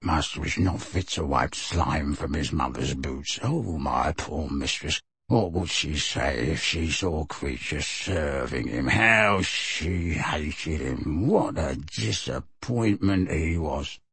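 A man voicing a bitter, resentful old male house-elf servant who mutters insults in a low undertone. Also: expressive, slow pace, creaky, few pauses, a bit bright, breathy edge, dry recording, heavily acted. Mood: muttering bitterly.

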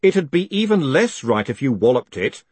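Guy doing a slick fast-thinking conman postmaster, pushy and exasperated, pitch jumping all over. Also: very animated, husky, no room sound. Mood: exasperated, indignant. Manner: forceful, glib, persuasive. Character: brash, quick-witted male postmaster, glib, exasperated conman.

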